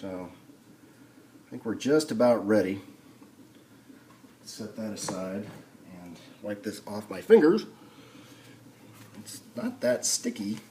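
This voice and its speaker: A man thinking aloud in an unhurried way as he gives a casual hands-on tutorial at a workbench. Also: on the low side, animated, warm tone. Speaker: hobbyist demonstrating a restoration. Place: indoor home workshop. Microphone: camera on the workbench, speaker off-screen. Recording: noisy camera audio, background noise.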